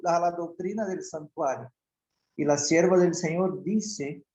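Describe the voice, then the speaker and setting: A man preaching a sermon as pastor, a native Portuguese speaker who talks in slow, measured phrases and often restarts. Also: high voice, darkish tone. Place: church hall. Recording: echoey church hall.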